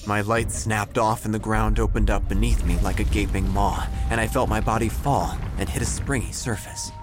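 An actor playing a melodramatic, self-important obituary writer who narrates an audio drama with theatrical pauses. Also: male voice, on the low side, steady tone, music in the background, lightly acted. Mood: ominous, awed. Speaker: narrator and protagonist. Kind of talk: first-person audio drama narration, gothic parody.

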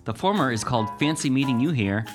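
A man's voice: medium pitch, fast, music in the background. A man hosting a comedy interview podcast, reading a playful scripted intro and then asking casual questions. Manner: playful, lively, jokey framing. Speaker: podcast host. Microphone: studio microphone with headphones.

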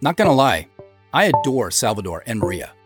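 Man giving an animated director's commentary. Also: medium pitch, brisk, loud music behind the voice. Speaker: audio drama creator hosting a commentary. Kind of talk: director's commentary, explanatory narration.

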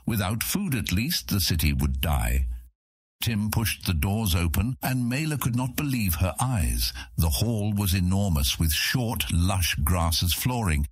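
Male audiobook narrator, dramatic fantasy storytelling, lively varied intonation, very dry close sound. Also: low-pitched, measured pace, husky, no echo.

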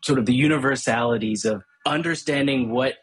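A man's voice: medium pitch, brisk, breathy edge. A middle-aged man from Hong Kong, a brand strategist, explaining his ideas thoughtfully in an interview. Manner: thoughtful, explanatory, with frequent restarts. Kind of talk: podcast interview conversation.

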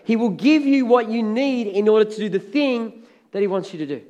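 Hoarse, high-voiced preaching man. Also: fairly quick, dull audio. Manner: energetic, emphatic preaching with repeated phrases. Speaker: pastor. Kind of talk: sermon.

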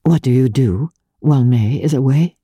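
A narrator playing a breathy, hesitant young society lady. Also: very deep voice, mellow, no room sound, clean studio sound. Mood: tentative. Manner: halting, breathless. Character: refined, hesitant, earnest young society woman.

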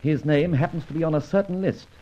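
A man acting a suave, self-assured gentleman adventurer in an old-time radio drama, breezy and urbane in his old-fashioned phrasing. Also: on the high side, fast talker, natural voice. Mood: brisk, determined. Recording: dull, lo-fi old radio recording.

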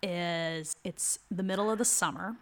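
Unhurried woman setting a scene. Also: lowish voice, a bit bright. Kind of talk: casual storytelling, setting a scene.

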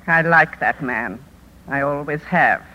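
An actress playing an older, stately and blunt widow of a newspaper owner in a 1950s radio drama. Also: female voice, low voice, slow pace, bright, forceful tone, full character voice. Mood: firm, composed. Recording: very noisy vintage radio recording.

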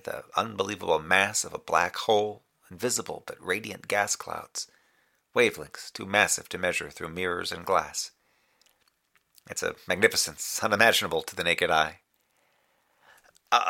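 An actor playing an earnest, formal communications officer in a science fiction audio drama, speaking precisely and a little fussily, with a wistful, lyrical streak. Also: male voice, low-pitched, vocal fry, bright, forceful tone, exaggerated voice. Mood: awed, then indignant. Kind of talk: audio drama monologue as a transmitted report.

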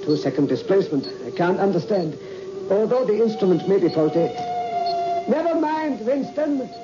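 An actor playing an authoritative space mission controller in an old-time radio drama, with a formal, commanding and declamatory manner. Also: male voice, high-pitched, very animated, normal speed. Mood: intent. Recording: dull, noisy old radio recording.

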